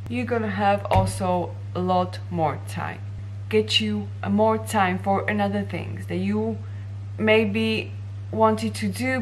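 A woman's voice: medium pitch, poor audio. A young woman from Slovakia with a Slovak accent, talking straight to camera in a vlog and gesturing with her hands as she explains. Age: young adult. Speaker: vlogger. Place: bright indoor office room.

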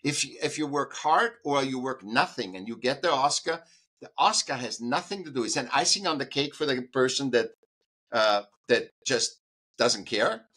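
A man's voice: medium pitch, lively intonation, moderate pace, sharp tone, slightly rough. A man, a guest artist and educator, talking in an interview in an emphatic way, restarting sentences and repeating points for stress. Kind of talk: conversational interview.

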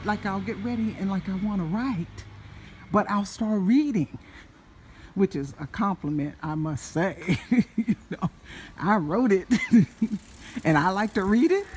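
An older woman vlogs from a handheld phone on an evening walk through a residential street. Her voice is mid-pitched, and her storytelling is loose and chatty, full of side comments and small laughs. Faint street noise and a passing car now and then sit under her voice. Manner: rambling, chatty, laughs often, loses her thread. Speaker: vlogger. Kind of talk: casual walk-and-talk vlog. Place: outdoors on a residential street at dusk. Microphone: handheld phone, filming the street ahead while walking.

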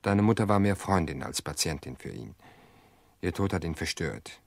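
An actor playing a calm brother-in-law in a radio drama, soothing and dismissive in a measured way. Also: male voice, sits low, creaky, no echo, heavily acted. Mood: reassuring. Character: calm, reassuring brother-in-law, reasoning away suspicion.

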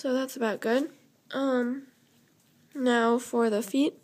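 A young woman with a slightly hoarse voice, chatting loosely through a step-by-step drawing tutorial while she sketches. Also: on the high side, steady tone, takes it slowly, a little mellow. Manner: chatty, loose, with fillers and self-corrections. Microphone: camera over the drawing paper, speaker off frame.

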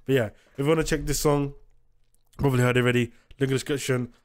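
A man's voice: mid-range voice, fairly even intonation, quick pace, a little mellow. A young British man giving casual, slangy reaction commentary as a host, emphatic and often repeating himself. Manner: repetitive, emphatic, informal.

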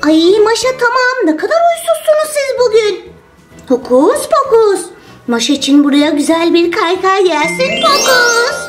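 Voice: very high voice, big pitch swings, normal speed, over music. A performer voicing a helpful magical girl in a children's toy play story, exclaiming and mildly scolding. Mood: helpful, firm.

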